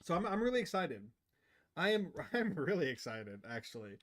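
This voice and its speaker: Higher-pitched man chatting on a gaming livestream. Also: big pitch swings, moderate pace, fairly bright tone. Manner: enthusiastic, chatty with viewers. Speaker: livestream host. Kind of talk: casual gaming livestream chat. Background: game music.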